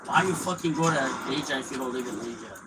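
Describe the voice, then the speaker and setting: A man's voice: mid-range voice, fast, very noisy. A young man streaming a video game live, trash-talking other players in crude, profane, mocking banter. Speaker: gaming streamer.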